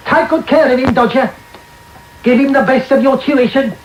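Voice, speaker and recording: A man's voice: very high voice, steady tone, normal speed. An actor in a radio drama playing a sly, wheedling old man who leads young pickpockets, with coaxing, theatrical delivery. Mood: fatherly, sly. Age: older. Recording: roomy, noisy medium-wave radio tape.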